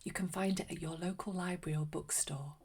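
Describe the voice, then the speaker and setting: A woman narrating a read-aloud story for children in a gentle, unhurried, expressive way. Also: fairly low pitch, husky. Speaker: children's story reader and host.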